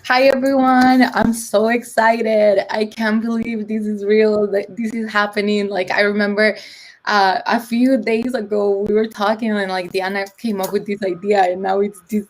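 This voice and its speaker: A woman's voice: medium pitch, little pitch movement, normal speed. Young woman on a video call, lots of likes and ums, chatty. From El Salvador. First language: Spanish. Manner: chatty, many fillers like "like" and "um". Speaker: conference presenter. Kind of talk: casual online presentation.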